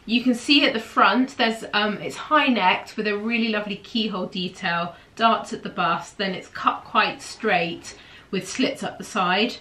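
A woman in her thirties whose voice sounds nasal and bunged up, slightly low-pitched but bright and forceful. She talks casually and eagerly to a camera in a small room at home, gesturing as she goes. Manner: enthusiastic, gushing, gestures a lot with her hands. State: nasal and bunged up from hay fever. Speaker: host of a sewing pattern show. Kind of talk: casual chatty review to camera.

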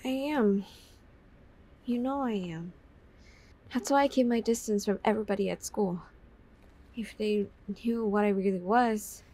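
A woman's voice: medium pitch, a little slow, pauses often, warm tone, natural voice. Woman acting a guarded, sarcastic teenage girl. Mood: bitter, hurt. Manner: sarcastic, defensive. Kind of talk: video game fan dub acting.

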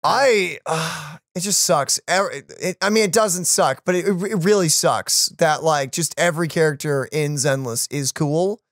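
A man's voice: fairly high pitch, moderate pace, few pauses, fairly bright tone, no room sound. A man in his twenties co-hosting a reaction video in casual, hedging chat, recorded close on a microphone in a home room. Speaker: reaction channel co-host. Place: small home room, indoors. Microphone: boom-arm studio microphone, close.

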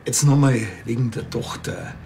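Actor as dry, creaky-voiced laconic policeman. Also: medium pitch, normal speed, faint music behind, close to an everyday voice. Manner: deadpan, drawling, hesitant. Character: dry, laconic, unimpressed male police officer. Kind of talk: TV crime comedy acting. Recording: loud ambient and vehicle noise.

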